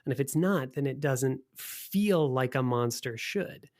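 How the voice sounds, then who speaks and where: A man hosting a podcast in a casual, conversational way. He sits at a medium pitch and thinks things through out loud, often rephrasing his point as he goes. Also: lively intonation, a little slow. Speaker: podcast host.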